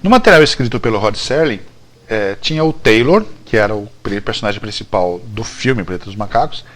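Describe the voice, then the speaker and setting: A man's voice: on the low side, expressive, a little fast, fairly bright tone. A Brazilian man in a casual interview, telling stories as a guest, often repeating phrases and checking in with the listener. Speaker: guest writer telling behind-the-scenes history of a TV series. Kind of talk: animated casual interview storytelling.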